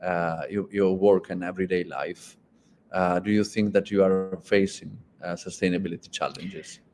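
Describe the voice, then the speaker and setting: An Italian-speaking man interviewing a guest in English, halting and restarting often, with many fillers. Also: low-pitched, fairly even intonation, a little mellow, a little hiss or hum. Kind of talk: conversational interview.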